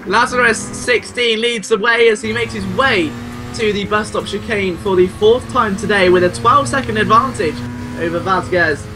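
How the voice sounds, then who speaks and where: A man giving excitable, exclamatory live play-by-play commentary on a motor race. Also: high-pitched, moderate pace. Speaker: sim racing race commentator. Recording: clear car engine noise behind. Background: racing car engines.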